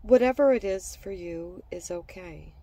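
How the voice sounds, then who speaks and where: A woman leads a children's guided relaxation, reading from a script. Her voice is mellow and soft-edged, and she speaks slowly and soothingly in short, separated phrases. She sounds a little away from the microphone. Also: on the low side, lively intonation, recorded from a distance. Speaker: guided meditation narrator.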